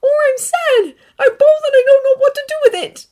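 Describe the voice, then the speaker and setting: A woman voicing a distraught teenage boy, a hero student, crying and shouting through his anger. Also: very high-pitched, brisk, pitched above the performer's own voice. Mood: tearful anger. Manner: tearful, breaking, shouting outbursts.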